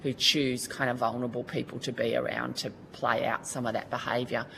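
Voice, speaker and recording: A woman's voice: rumbling low, little pitch movement, brisk, gravelly, pushed and bright, slight background noise, rough recording. An Australian woman giving earnest, colloquial testimony at a Senate committee hearing. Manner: earnest, colloquial, restarts often. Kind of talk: formal testimony.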